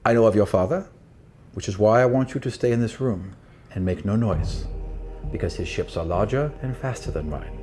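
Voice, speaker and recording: A man plays a big, weathered sea captain in a tabletop roleplaying game. He is gruff yet protective. His manner is folksy and fatherly, like he is looking after a youngster. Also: fairly low pitch, normal speed, over loud music, natural voice. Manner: folksy, fatherly, calling the listener boy.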